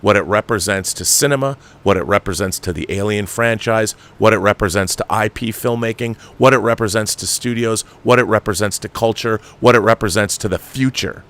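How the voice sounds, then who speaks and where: A man's voice: lowish voice, a little fast, hardly any pauses, a bit bright. A man delivering an unscripted film rant as a show host, emphatic, exasperated and profane, repeating phrases for emphasis. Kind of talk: ranting film commentary, unscripted.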